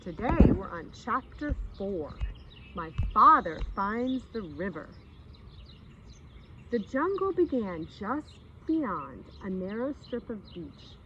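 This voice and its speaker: A woman reading a story aloud expressively for children, pausing to explain and speak to her young listeners. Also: mid-range voice, big pitch swings, bright, forceful tone. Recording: slightly dull sound with faint background noise.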